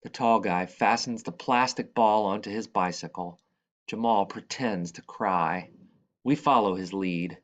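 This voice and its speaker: A man reading a children's picture book aloud, expressively, with dramatic and deliberate phrasing. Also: on the low side, muffled recording. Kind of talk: expressive read-aloud narration.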